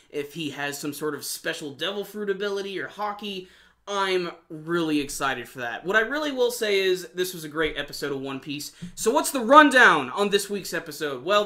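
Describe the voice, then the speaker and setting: Male episode reviewer, slightly high pitch, sharp bright tone, excited fan commentary, informal and slangy. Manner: casual fan talk with slangy emphasis. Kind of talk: enthusiastic video review commentary.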